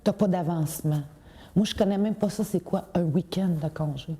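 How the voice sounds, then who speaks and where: A woman's voice: lowish voice, moderate pace, dark tone. A woman in her thirties with a Québécois accent, speaking bluntly in an interview. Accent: Québécois French. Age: 30s. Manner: blunt, frank. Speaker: former school dropout. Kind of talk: interview testimony.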